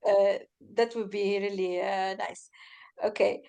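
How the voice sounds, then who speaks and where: A woman's voice: medium pitch, steady tone, a little slow, vocal fry, mellow, clean tone. A woman from Tunisia, speaking as a participant in an online meeting and searching for words. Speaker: audience participant, executive trainer. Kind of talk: asking a question in an online meeting.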